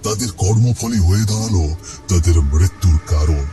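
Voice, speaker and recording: A man narrates a scripted horror cartoon story in a dramatic style. His voice is on the low side. Music plays clearly behind him. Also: moderate pace. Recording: music under the voice.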